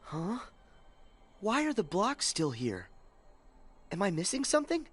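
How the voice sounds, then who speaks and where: A man's voice: sits high, huge pitch swings, unhurried, husky, exaggerated voice. A man playing a plucky, cocky teenage boy hero in a video game, with a brash, casual, eager manner. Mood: puzzled. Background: game music.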